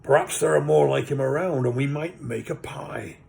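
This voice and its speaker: A man's voice: mid-range voice, moderate pace. Man doing a quarrelsome brawling troll. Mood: suspicious. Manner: belligerent, loud, rough. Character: quarrelsome, suspicious male troll.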